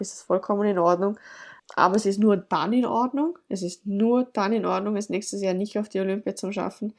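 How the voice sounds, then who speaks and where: A woman speaks with a congested, stuffy-nosed voice, as though she is getting over a cold. She talks in a rambling, casual way and often stops to correct herself mid-sentence. Her pitch sits in an ordinary middle range. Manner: rambling, frequent self-corrections. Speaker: athlete guest. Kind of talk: casual podcast interview.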